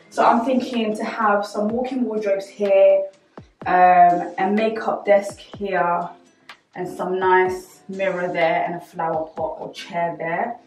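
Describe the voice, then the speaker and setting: A woman's voice: mid-range voice, a little mellow. A young woman giving a casual, chatty house tour straight to her viewers, walking through empty rooms. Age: young adult. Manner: chatty, addressing viewers directly. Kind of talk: casual vlog walkthrough. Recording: echoey empty rooms, distant mic, music.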